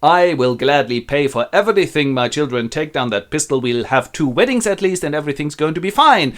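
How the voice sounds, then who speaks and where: A magnanimous old gentleman from a comic stage tale, put on by a man. He declaims with kindness. His voice is raised and varied in pitch. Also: animated, fairly quick. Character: kindly elderly gentleman, long-lost father, magnanimous.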